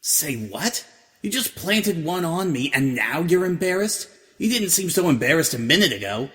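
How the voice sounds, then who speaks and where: A man's voice: fairly high pitch, sing-song, normal speed, a bit bright, husky, pitched above the performer's own voice, full character voice. A man voicing a brash, blunt young mare DJ who teases and wisecracks. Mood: pushy.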